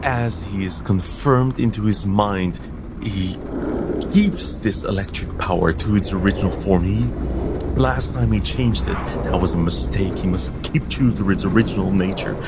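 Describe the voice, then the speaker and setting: A man's voice: sits low, normal speed, very noisy. A man playing a tabletop game, narrating his character's actions in an intense, theatrical way. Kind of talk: dramatic third-person game narration.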